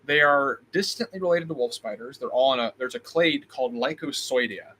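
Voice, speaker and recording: Bright-voiced man with a slight Midwestern accent. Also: medium pitch, brisk, slight background noise. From Illinois, US. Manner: reads chat questions aloud, answers off the cuff with frequent ums and restarts. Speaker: host. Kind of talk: live stream Q&A.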